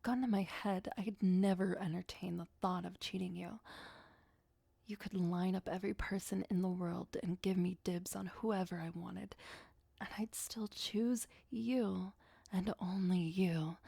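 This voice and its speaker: An ageless female vampire played by a woman murmurs as an obsessive, darkly playful girlfriend in an ASMR roleplay. Her voice is soft, breathy and often whispered, drawn out at an unhurried, very slow pace. She coaxes sweetly with menace underneath, very close and dry on the mic. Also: lowish voice, fairly even intonation, no echo, heavily acted. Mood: possessive, tender. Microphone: close, dry microphone.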